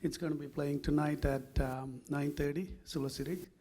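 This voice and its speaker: A filmmaker from Sri Lanka, speaking on a panel in a halting way as he searches for words. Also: fairly high pitch, fairly even intonation, warm tone. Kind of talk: panel discussion.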